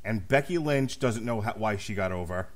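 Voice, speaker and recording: A man's voice: on the low side, expressive, moderate pace. A man hosting a casual podcast, speaking bluntly and profanely with strong opinions. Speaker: podcast host.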